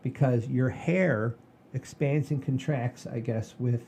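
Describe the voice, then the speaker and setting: Older guy podcast host, husky relaxed voice, rambly folksy storytelling. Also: medium pitch, slow pace. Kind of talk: casual chat and storytelling.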